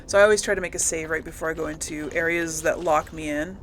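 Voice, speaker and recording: A woman's voice: low-pitched, fairly even intonation, fast, slight background noise. A woman giving casual, chatty commentary as she plays through a video game. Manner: chatty, informal. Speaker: gamer, let's player.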